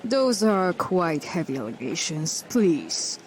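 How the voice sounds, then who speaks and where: A man's voice: fairly high pitch, very animated, takes it slowly, a little hiss or hum. An actor playing a prim, reproachful male coworker in an audio drama.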